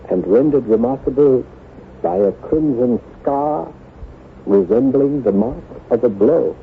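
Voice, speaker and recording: Haunted aristocratic husband: anguished, formal, halting, very expressive pitch; narrow old-radio sound with light hiss. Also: male voice, vocal fry. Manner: formal, grave, halting theatrical delivery. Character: anguished, haunted nobleman husband devoted to his ailing wife. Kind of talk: old-time radio drama acting. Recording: noisy, narrow-band vintage radio broadcast.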